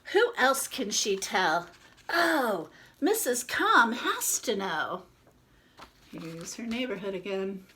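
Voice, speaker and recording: A woman's voice: medium pitch, very animated, slow pace, bright, forceful tone, slight background noise. A woman reading a picture book aloud expressively, with informal asides as she points out the illustrations.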